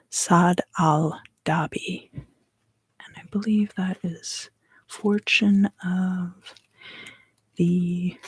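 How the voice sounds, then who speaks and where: Female ASMR card reader, hushed and unhurried, low-pitched with creaky, breathy texture, frequent pauses. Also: no echo. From US East Coast South. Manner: soft, unhurried, pausing often. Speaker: tarot reader and ASMR creator. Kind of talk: whispered ASMR card reading.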